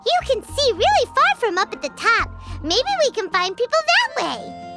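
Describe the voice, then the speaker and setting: Game fairy companion: extremely high, childlike and squeaky, eager, swooping intonation. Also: female voice, wildly swooping pitch, normal speed, gravelly, over loud music, heavily acted. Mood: cheerful, explaining. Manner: perky, exclamatory. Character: tiny chirpy childlike female fairy companion, eager helper. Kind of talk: video game character dialogue.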